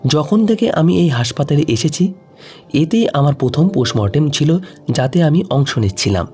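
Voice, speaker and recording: A man's voice: fairly high pitch, expressive, normal speed, warm tone. A man reading a scripted emotional story in the first person as a dramatic narrator. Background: faint music.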